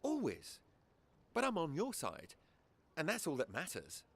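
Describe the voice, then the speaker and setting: An actor playing a secretive elf mage in a video game, suave, arch and evasive. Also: male voice, fairly high pitch, huge pitch swings, measured pace, breathy edge, full character voice. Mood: smug. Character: sly, secretive male elf court mage. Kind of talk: video game cutscene acting.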